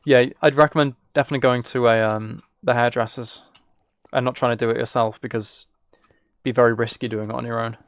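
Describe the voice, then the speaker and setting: A man's voice: mid-range voice, fairly even intonation, fairly quick. A British man streaming a game and chatting casually with viewers, musing aloud in an informal, rambling way. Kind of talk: casual chat while playing a game.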